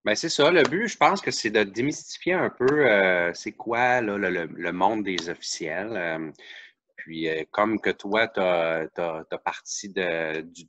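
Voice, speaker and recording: A man's voice: low-pitched, a little slow, a bit bright. A man with a Québécois accent hosting a podcast, chatting casually and searching for words with frequent restarts. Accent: Québécois French. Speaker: podcast host. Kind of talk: casual interview chat.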